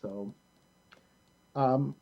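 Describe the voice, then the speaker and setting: A middle-aged American man hosting a casual show in a rambling, halting way. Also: medium pitch, creaky, lo-fi sound, a crowd in the background. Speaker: host of a community show.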